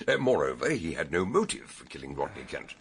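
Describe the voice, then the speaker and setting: An actor playing a methodical British police investigator in a radio drama, explaining details with small hesitations, in a British accent. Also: male voice, rumbling low, very animated, fairly quick, a little hiss or hum, full character voice. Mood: matter-of-fact. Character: police investigator, brisk, knowledgeable, methodical questioner.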